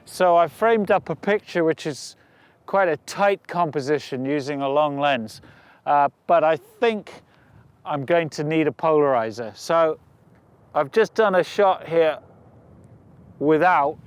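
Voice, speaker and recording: Man, slightly high-voiced, presenting outdoors. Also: unhurried, clear, resonant tone, no room sound. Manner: thinking aloud while demonstrating. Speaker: photography presenter. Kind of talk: instructional talk to camera, unscripted. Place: outdoors on location.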